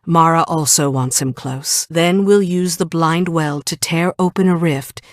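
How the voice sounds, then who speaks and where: A woman's voice: deep voice, a little slow, no room sound, clean studio sound. A woman playing a stern, loyal military commander in a video game, with a firm, dutiful delivery. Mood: firm, serious. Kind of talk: scripted video game acting.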